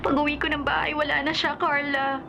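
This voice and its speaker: A woman's voice: high-pitched, little pitch movement, a little fast, gravelly. An actress playing a distraught young woman with a Filipino accent, crying and pleading in a radio drama. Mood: tearful, pleading. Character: distraught, pleading young woman who lost her partner. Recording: loud music under the voice.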